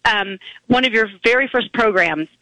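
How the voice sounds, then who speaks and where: A hoarse grandmother-aged woman speaking over a phone line, with a bright, forward tone. She talks earnestly and warmly, stopping and restarting her sentences as she goes. The sound is dry and close. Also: a little high, no echo. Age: older. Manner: earnest, emotional, halting with restarts. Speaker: caller. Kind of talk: heartfelt testimonial on a call-in radio show.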